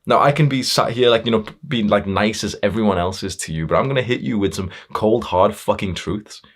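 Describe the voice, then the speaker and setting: A man's voice: on the low side, a little fast. A man delivering a blunt, admonishing motivational monologue as a self-improvement creator, swearing freely as he goes.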